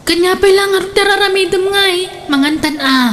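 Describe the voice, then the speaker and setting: A woman's voice: very high voice, moderate pace, fairly bright tone, a little hiss or hum. An actress playing a fussy, demanding young girlfriend in an Ilocano comedy radio drama, with a Filipino Ilocano accent. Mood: questioning.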